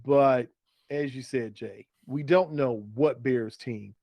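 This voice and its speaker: A man's voice: mid-range voice, slow pace, dark tone, no echo. Man bantering as a sports co-host, close-up sound. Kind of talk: casual fantasy football banter.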